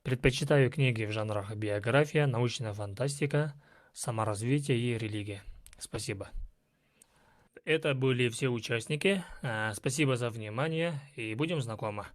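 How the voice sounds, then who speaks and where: A man introduces himself to an online class in an earnest, steady way and lists his interests one by one. His voice sounds dry and close, with no room around it. It comes through a video call. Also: medium pitch, moderate pace, no echo. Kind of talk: prepared self-introduction.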